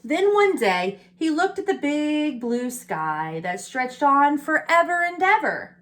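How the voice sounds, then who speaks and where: High-voiced woman reading to young children. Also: sing-song, slow, fairly bright tone. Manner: explains pictures and asks listeners questions. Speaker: storybook reader for preschool children. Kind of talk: read-aloud picture book with chatty asides to young children.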